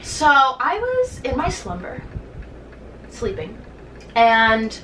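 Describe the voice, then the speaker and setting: A woman's voice: high voice, wildly swooping pitch, slow, pushed and bright. A young woman co-hosting a casual podcast, chatty and giggly, with lots of "like". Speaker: podcast co-host. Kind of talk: casual chat. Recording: echoey room, mic at a distance, faint hiss.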